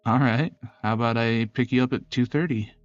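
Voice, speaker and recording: A man voicing a polite, reserved young male prosecutor in a visual novel, speaking in a measured, earnest way. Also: mid-range voice, fairly even intonation, dry recording, lo-fi sound, faint music behind, lightly acted. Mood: warm, agreeable. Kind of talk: visual novel character voice acting.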